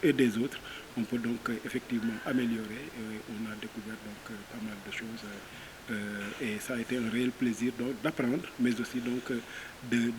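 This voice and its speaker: Male participant recapping an event. Hesitant, mid-pitched, noticeably hissy audio. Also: flat delivery. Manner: halting, hesitant, filler-heavy. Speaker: workshop participant. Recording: clearly audible background hiss.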